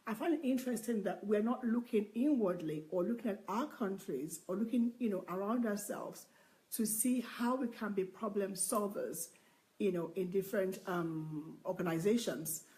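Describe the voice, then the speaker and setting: A soft-spoken woman sharing her view in a book discussion, quiet and earnest with some hesitations. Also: medium pitch, moderate pace, poor audio. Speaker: book club participant. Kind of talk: discussion contribution.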